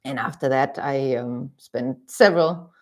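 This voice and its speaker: Woman, low voice, hesitant livestream co-host. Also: unhurried, a little mellow. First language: German. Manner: hesitant, lots of ums and restarts. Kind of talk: casual livestream chat.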